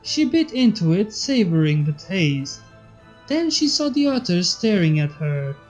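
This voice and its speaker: Young woman narrating over soft music. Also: on the low side, sing-song, a little slow. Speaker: fanfiction reader and channel host. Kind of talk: story narration. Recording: slightly dull audio, soft background music.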